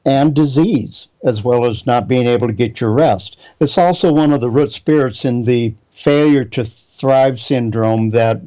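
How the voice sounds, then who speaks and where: A man's voice: mid-range voice, measured pace, hardly any pauses, warm tone. A man preaching a Bible teaching sermon, reading scripture aloud and spelling out Hebrew words.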